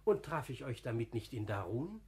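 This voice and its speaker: A good-natured older lay brother in a classical radio play. He speaks at a medium male pitch in a homely, confiding way. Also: very animated, moderate pace. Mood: earnest recounting. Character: humble, good-natured older friar, plain-spoken and confiding. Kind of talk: classical German radio drama acting.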